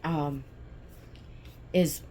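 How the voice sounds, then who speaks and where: A woman chatting casually in a home vlog, hoarse from sneezing, rambling with many fillers and restarts. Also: low-pitched, fairly even intonation, very slow, darkish tone, a little hiss or hum. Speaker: doll channel vlogger. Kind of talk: casual chat. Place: at home indoors.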